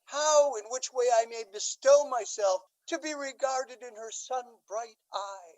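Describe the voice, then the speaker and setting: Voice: mid-range voice, measured pace, lightly acted, low-quality recording. An actor playing an aging, scheming Duke in a Shakespearean radio drama, with a theatrical, courtly and emphatic delivery. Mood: feigned coyness. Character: aging, scheming Duke, aggrieved father feigning lovesickness.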